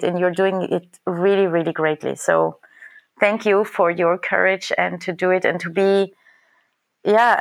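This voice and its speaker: Low gravelly-voiced woman guest. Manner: earnest, grateful, hesitant with fillers. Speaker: podcast guest, dance researcher. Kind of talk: conversational interview.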